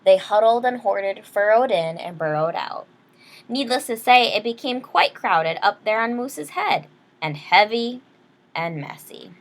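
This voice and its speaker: A woman reading a children's picture book aloud expressively, pausing to explain things to young listeners. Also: mid-range voice, expressive, a little hiss or hum. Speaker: read-aloud storyteller.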